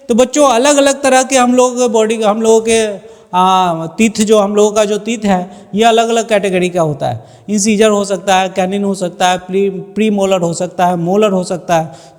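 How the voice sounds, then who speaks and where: A man gives a school science lecture in a notably high voice. He explains didactically, slipping English terms into his speech and answering his own questions. The recording carries a long, hollow reverb. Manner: didactic, asks questions and answers them himself. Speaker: biology teacher. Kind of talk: classroom lecture mixing Hindi with English terms.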